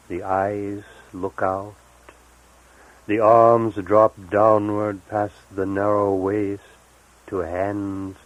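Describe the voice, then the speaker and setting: A man gives a poetry reading in a very deep voice. His pace is very slow, and he sets each phrase apart with deliberate pauses. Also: muffled recording. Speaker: poet. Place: live recorded reading, 1978.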